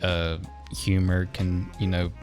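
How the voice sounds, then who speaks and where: A man's voice: low voice, monotone, slow pace, a little mellow, faint music behind. A young man co-hosting a podcast, rambling and joking with frequent restarts. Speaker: podcast co-host. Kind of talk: casual chat.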